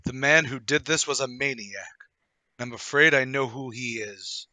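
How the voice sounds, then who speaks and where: A man playing a hearty, brash English-gentleman type with theatrical banter in a radio play table read. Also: mid-range voice, moderate pace, no echo, poor audio. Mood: grave, urgent. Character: cheery, brash gentleman brother-in-law with hidden cunning.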